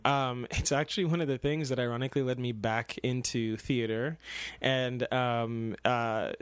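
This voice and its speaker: A man's voice: mid-range voice, steady tone, moderate pace, smooth. A young man from Kenya, an actor and dancer, talking through his path in a casual interview, rambling with many restarts and laughs.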